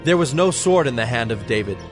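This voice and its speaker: A man narrating a dramatized scripture story from a script, in a measured, solemn storytelling manner. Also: mid-range voice, expressive. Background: background music.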